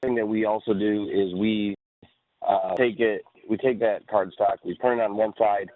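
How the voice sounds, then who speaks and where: A man's voice: on the low side, steady tone, moderate pace, no room sound. A man casually explaining practical steps as a mentor on a group call, conversational and checking in as he goes. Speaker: team mentor presenting advice. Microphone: phone call. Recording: narrow phone conference line, radio-like.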